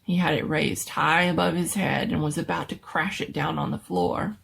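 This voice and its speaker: A woman's voice: low voice, fairly even intonation, normal speed, vocal fry, a bit bright, a little hiss or hum. A woman giving a dramatic reading of a scary story as its storyteller.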